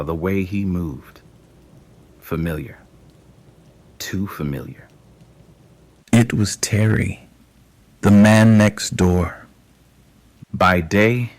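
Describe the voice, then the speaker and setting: A man narrating scripted dramatic fiction as an audio story, in short clipped phrases with deliberate pauses. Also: low voice.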